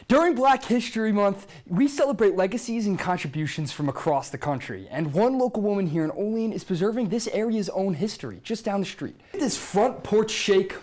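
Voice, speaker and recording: A man's voice: high voice, darkish tone. A young man delivering scripted broadcast news as a student TV reporter. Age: young adult.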